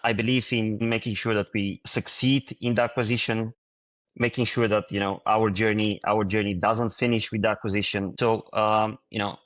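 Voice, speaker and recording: A man from Bulgaria, a startup founder answering interview questions in a halting way, with frequent restarts and fillers. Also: fairly low pitch, little pitch movement, a bit bright, no echo, muffled recording.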